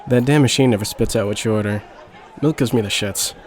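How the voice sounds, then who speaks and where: A man's voice: on the low side, brisk, some chatter behind, lightly acted. A man playing a pushy, slangy teenage boy delinquent in an audio book drama. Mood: brash, casual. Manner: slangy, wheedling. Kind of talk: audio book character acting.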